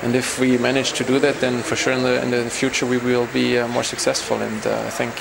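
A young man, a team captain, giving a halting post-game interview in an arena corridor. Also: mid-range voice, level tone, gravelly, noisy background. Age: young adult. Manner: halting, repeating words as he searches. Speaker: ice hockey team captain. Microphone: handheld interview mic.

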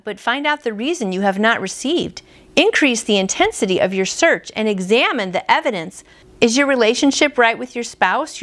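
A woman's voice: on the high side, fairly bright tone. A woman in her fifties hosting a devotional, reading aloud in an earnest, conversational way. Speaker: devotional host. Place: small furnished room at home, indoors.

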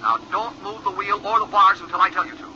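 An urgent police inspector with a high voice, giving clipped commands in a 1950s radio drama. The recording is muffled and full of noise. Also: male voice, very animated, fast, bright, forceful tone, heavily acted.

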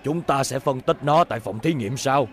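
A man's voice: medium pitch, moderate pace, slight background noise, close to an everyday voice. Man voicing a fatherly old scientist. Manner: calm, fatherly and explaining. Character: kindly elderly male scientist. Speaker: voice actor in a cartoon dub. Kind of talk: cartoon dubbing. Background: music score.

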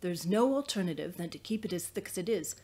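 A woman's voice: on the low side, expressive, quick pace, darkish tone. A woman voicing an insistent, practical male research assistant. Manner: arguing, insistent.

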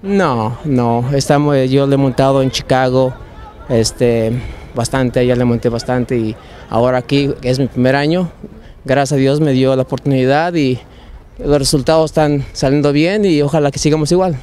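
A young adult man, a jockey, talks outdoors at a racetrack, repeating words and using fillers as he answers. His pitch is medium, with faint outdoor ambience behind him. A handheld mic is held up to him. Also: fairly even intonation, normal speed, a little mellow, slight background noise. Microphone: handheld mic held by interviewer.

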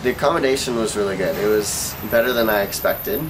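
A man's voice: lowish voice, fairly quick. A young American man from Oregon giving casual interview answers about his experience as a volunteer. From Oregon, United States. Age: young adult. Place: outdoor rooftop terrace. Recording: clear outdoor background noise.

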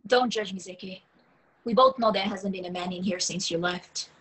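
A woman's voice: medium pitch, moderate pace, fairly bright tone, lightly acted. A woman playing a dry, sarcastic single woman in her late twenties at a film table read. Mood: wry.

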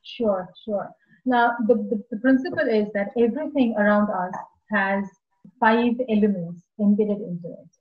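A woman's voice: medium pitch, moderate pace. A woman in her forties with an Indian accent, an architect explaining her work carefully on a video call from a room at home. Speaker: architect guest. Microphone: laptop webcam on video call, earphones. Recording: dull lo-fi video call audio.

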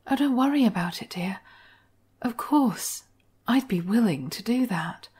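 A woman playing a manipulative, obsessive therapist in an intimate ASMR roleplay, speaking softly and coaxingly, often in a whisper. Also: on the high side, expressive, measured pace, slightly rough, full character voice. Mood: soothing, coaxing. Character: manipulative, obsessive female therapist, soothing and sly. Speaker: voice actor in audio roleplay. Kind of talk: intimate scripted ASMR roleplay, addressed to the listener.

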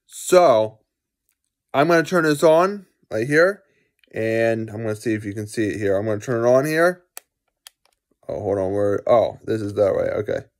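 A young adult man giving a casual, unscripted gadget demonstration, halting and often repeating himself. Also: on the low side, lively intonation. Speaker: amateur vlogger showing gadgets. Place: small furnished bedroom indoors. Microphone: phone or camera at arm's length.

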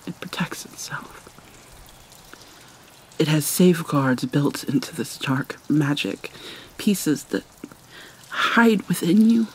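A woman performs a haunted mermaid cursed with vampirism in an ASMR roleplay. She speaks very slowly and mournfully, with long pauses, her soft breathy voice often sinking to a whisper. Steady rain runs behind her. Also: sits low, slight background noise. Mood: troubled. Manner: halting, mournful, dramatic pauses. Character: vampire mermaid, sorrowful young-looking princess, centuries old, haunted. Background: rain ambience.

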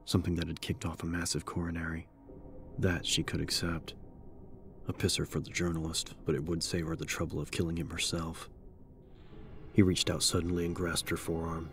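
Very deep, monotone male narrator. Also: brisk. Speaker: audiobook narrator. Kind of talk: scripted dramatic narration.

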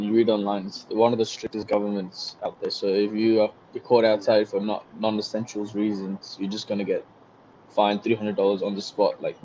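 A young man with an Australian accent, a guest chatting casually on a video call, relaxed and rambling. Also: fairly low pitch, slight background noise. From Singapore. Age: young adult. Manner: relaxed, rambling with many likes and you-know-what-I-means. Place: small bright room indoors. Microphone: headset. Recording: dull lo-fi video call audio.

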